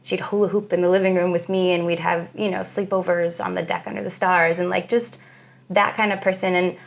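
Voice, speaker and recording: A young woman speaks in a deep, gravelly register. Her talk is reflective and halting, full of pauses and fillers, in a casual interview chat. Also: level tone. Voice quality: very low female voice. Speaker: guest musician.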